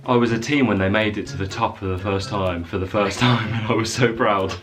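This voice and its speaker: A man's voice: on the low side, steady tone, brisk, over music. A man reading short stories aloud in a jokey dramatic way, often breaking into laughter mid-sentence. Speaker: vlogger.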